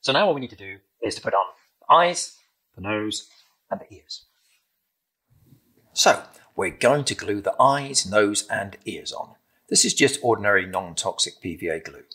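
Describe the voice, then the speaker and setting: A middle-aged British man gently and encouragingly demonstrating a craft step by step in simple instructions. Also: on the low side, expressive, moderate pace, pauses often, slightly rough. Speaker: craft presenter. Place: indoor room with timber-framed walls, at a table.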